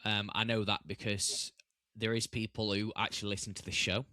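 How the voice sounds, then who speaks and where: A male podcast host with a fairly low voice and a bright, forceful tone asks questions casually and stumbles through restarts and fillers. The recording is dry and close. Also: flat delivery, moderate pace, no echo. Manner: warm, hesitant, with many restarts and fillers. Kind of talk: casual interview chat.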